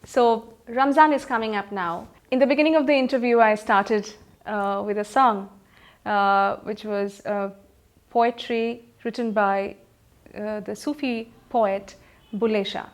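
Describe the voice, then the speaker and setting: A woman, a film playback singer, chatting in an interview about her career and pausing often to recall names. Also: medium pitch, fairly even intonation. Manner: chatty, anecdotal, many pauses to recall names.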